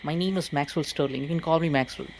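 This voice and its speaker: A man's voice: a little high, extremely slow, vocal fry. A man chatting in a role-play game in a hesitant, polite way. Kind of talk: casual role-play game chat in English. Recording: very noisy, clicking game voice chat.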